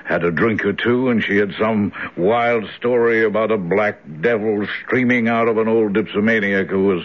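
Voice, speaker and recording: An actor playing a polite, skeptical hospital doctor in an old radio drama. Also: male voice, on the low side, steady tone, moderate pace, natural voice. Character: brisk, reassuring, skeptical male hospital doctor. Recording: dull old radio broadcast recording.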